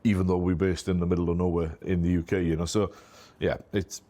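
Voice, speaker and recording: A middle-aged Welshman with a strong Welsh accent talks in a low voice about his own business. He is chatty and self-deprecating, loosely built sentences full of "you know", Welsh being his first language. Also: level tone, fairly quick, dark tone. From Wales. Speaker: MSP business owner, guest. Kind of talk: casual interview talk.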